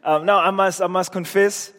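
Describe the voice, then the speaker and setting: A man's voice: high voice, steady tone, moderate pace, sharp tone. A man preaching an earnest evening sermon in a church, his voice scratchy and strained. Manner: earnest, repeats words when he restarts. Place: church hall. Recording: long reverb of a church hall.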